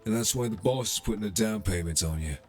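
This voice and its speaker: Man acting very low-voiced cocky cyberpunk fixer. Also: quick pace, faint music behind, lightly acted. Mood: businesslike, streetwise. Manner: casual, teasing, streetwise, swearing. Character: cocky cyberpunk fixer, a sardonic criminal middleman. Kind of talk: ASMR roleplay acting.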